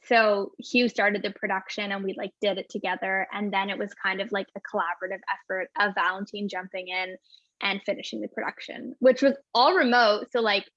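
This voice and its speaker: A woman in her twenties talks breezily about her music, bubbly and quick to laugh, filling every pause with "like." Her voice comes through muffled and lo-fi, with a dead dry sound like a video call. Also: medium pitch, steady tone, fairly bright tone, no echo. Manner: bubbly, says "like" constantly, laughs often. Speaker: singer-songwriter guest. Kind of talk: casual interview chat.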